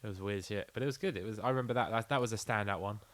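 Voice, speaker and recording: A man's voice: fairly low pitch, rapid-fire, fairly bright tone, no echo. A British man chatting casually as a podcast co-host, throwing in joking, blokey quips. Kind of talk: casual chat, bantering reactions.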